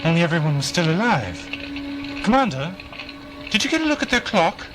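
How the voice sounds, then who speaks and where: Over a loud music bed comes a man acting as an inquisitive time-travelling alien in a sci-fi audio drama. His mid-pitched voice swings freely up and down, musing and lightly wry. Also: sing-song, brisk, rough recording. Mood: puzzled, thoughtful.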